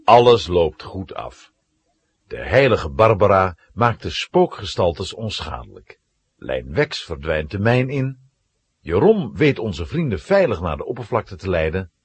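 A man narrating a children's radio play with dramatic, suspenseful, animated storytelling. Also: low-pitched, slightly rough, no echo.

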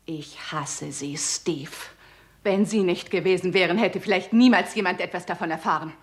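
A woman's voice: lowish voice, normal speed, close to an everyday voice. A woman playing an embittered, weary and defiant film star confessing to murder in a 1960s German radio crime drama, with a theatrical, sarcastic edge. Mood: hateful, confessing.

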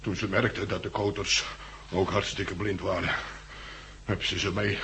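An actor playing a drunken, despairing blind pub landlord who rambles in coarse, slurred, bitter speech in a radio drama. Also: male voice, sits low, level tone, a little slow, bright, forceful tone. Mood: despairing, anguished. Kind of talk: 1960s radio drama acting. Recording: old 1960s radio recording, faint hiss.

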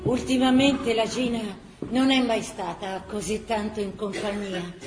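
An actress with a Tuscan accent narrating a wartime chronicle as an impassioned, vivid radio-theatre storyteller. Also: female voice, mid-range voice, steady tone, a little slow, over music, close to an everyday voice. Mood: grave, intimate. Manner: vivid, theatrical storytelling that breaks into characters' cries. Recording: roomy stage sound, faint background noise.

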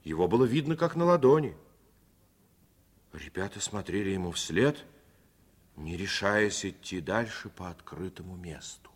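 A middle-aged man narrating a 1950s radio play in a reflective, measured manner. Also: low-pitched, expressive, breathy edge.

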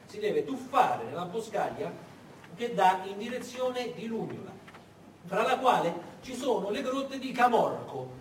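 A male stage actor tells a story as a dramatic monologue, posing rhetorical questions with emphatic repetition. His delivery swings widely in pitch. It echoes from some distance, with faint noise. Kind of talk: theatrical narrative monologue.

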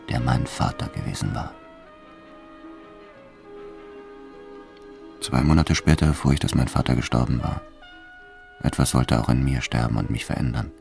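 Very deep, gravelly gangster. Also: male voice, warm tone. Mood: sombre, subdued. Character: hardened male gangster recounting his crimes, defiant, world-weary. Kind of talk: first-person radio drama narration with acted dialogue.

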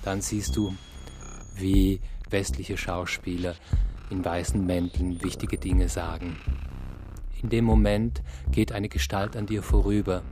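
A man narrating a radio play in the second person, telling the story in a measured, descriptive way. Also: low-pitched, fairly even intonation. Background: music.

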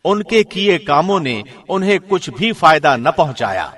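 A man reading a scripture translation as formal narration, solemn and measured, with careful enunciation. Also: on the high side, very animated, a bit bright. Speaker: translation narrator, radio host.

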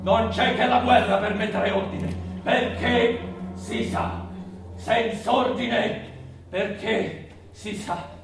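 Pompous war-loving sergeant, high-pitched, emphatic theatrical delivery, distant in a reverberant room. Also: male voice, slow pace, noisy background. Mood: pompous, scornful. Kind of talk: theatrical stage declamation. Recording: very echoey room from far off, music.

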